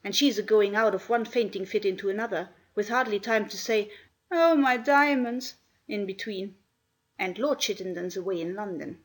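A woman's voice: mid-range voice, moderate pace, close to an everyday voice. A woman doing a gossipy country housemaid. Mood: dark gossip. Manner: country speech, darkly dramatic gossip. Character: gossipy, dramatic female housemaid.

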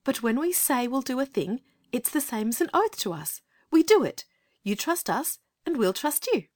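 Storybook little girl, English, adult female performer: high, animated, kindly, earnest and persuasive. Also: moderate pace, no room sound, clean studio sound. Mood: frank, trusting. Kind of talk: dramatized children's storybook reading.

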